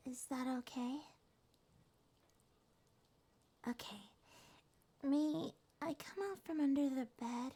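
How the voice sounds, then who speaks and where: A woman voicing a small, shy, gentle monster girl in an ASMR roleplay, speaking softly, hesitantly and reassuringly. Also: high voice, exaggerated voice. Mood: timid, relieved. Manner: hesitant, soft-spoken, reassuring.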